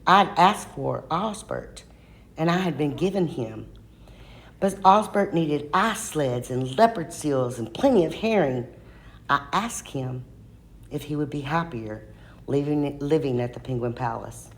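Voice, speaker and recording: A woman reading a children's storybook aloud in an expressive way, slowing down for emphasis. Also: low-pitched. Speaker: school staff reader.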